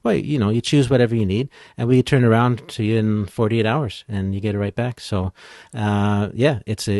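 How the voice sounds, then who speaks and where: Casting: man, expert interview guest, moderately low pitch, dry room-free audio, relaxed conversational explainer. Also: dry recording. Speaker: podcast editing expert, guest. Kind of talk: casual interview chat.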